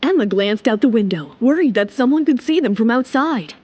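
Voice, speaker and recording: A woman's voice: a little high, expressive, a little fast, warm tone. A woman reading scripted, dramatic narration for a serialized romance audio story. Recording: music under the voice.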